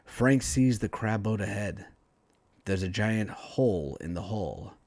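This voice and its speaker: A man reading a superhero story aloud in a dramatic, emphatic storytelling style with deliberate phrasing. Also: lowish voice, lively intonation, darkish tone, no echo, studio quality. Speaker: author reading own story, writing teacher.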